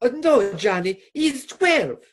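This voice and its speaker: Woman playing a slow, husky crooked doctor. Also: a little high, very animated, full character voice. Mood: wheedling, anxious. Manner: hesitant, pleading. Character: nervous, wheedling male doctor, criminal sidekick. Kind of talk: radio play acting.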